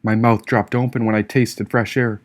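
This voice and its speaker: A man reading a first-person story as an audiobook narrator, expressive and theatrical in his delivery. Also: on the low side, normal speed. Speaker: author reading own audiobook.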